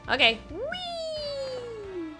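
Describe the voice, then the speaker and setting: A woman's voice: very high-pitched, wildly swooping pitch, drawn-out words. A woman giving casual, playful commentary with wry asides as she streams a game playthrough. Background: game music.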